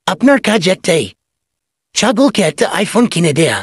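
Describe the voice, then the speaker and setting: A man acts out a sly cartoon goat thief. His voice is husky and swings up and down as he pours out glib salesman patter. The sound is dry and close. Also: high-pitched, big pitch swings, normal speed, dry recording, full character voice. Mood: glib, persuasive. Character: sly, fast-talking male goat thief and swindler. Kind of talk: comedy cartoon voice acting.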